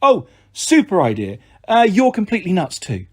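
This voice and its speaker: A man's voice: high-pitched, huge pitch swings, moderate pace, a little mellow, slightly rough, exaggerated voice. A man voicing a frightened but defiant young girl in a story, anxious and blunt. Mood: sarcastic.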